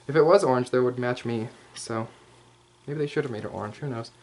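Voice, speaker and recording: A man's voice: medium pitch, normal speed, a little mellow. A man doing an improvised, casual ASMR roleplay show-and-tell, rambling with jokey asides and swearing. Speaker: ASMR roleplay host showing props.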